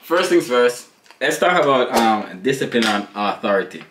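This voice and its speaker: A Jamaican man in his thirties co-hosting a casual family vlog chat, animated and slangy, laughing often. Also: medium pitch, normal speed. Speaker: family vlogger, co-host. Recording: echoey room with faint noise.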